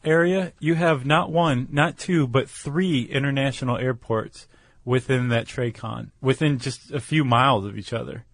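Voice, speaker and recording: Gravelly man in casual podcast chat. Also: mid-range voice, moderate pace, a bit bright, dry recording, studio quality. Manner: informal, conversational, explains with loose analogies. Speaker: podcast co-host.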